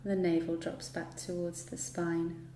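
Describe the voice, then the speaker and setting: A woman leads a guided yoga relaxation in a mellow, dark-toned voice. She gives each instruction soothingly and without hurry, pausing at length between them. The recording sounds roomy, with faint noise behind her. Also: on the low side, monotone. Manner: soothing, unhurried instruction with long pauses. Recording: roomy echo, light background noise.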